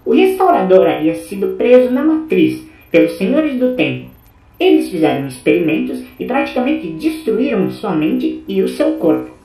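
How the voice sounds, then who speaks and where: A woman's voice: medium pitch, big pitch swings, moderate pace. A woman playing a formal informant who gives expository briefings in an audio drama. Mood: grave, informative. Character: formal female informant briefing a Time Lord. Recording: roomy echo under loud music.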